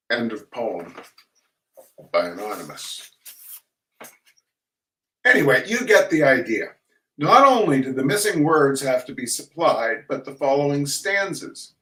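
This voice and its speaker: Slow-reading man in an echoey room. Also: medium pitch, very animated, pushed and bright. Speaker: reader of humorous essays. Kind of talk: dramatic reading of comic essays aloud. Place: echoey room, recorded at a distance from the mic. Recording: echoey room, distant microphone.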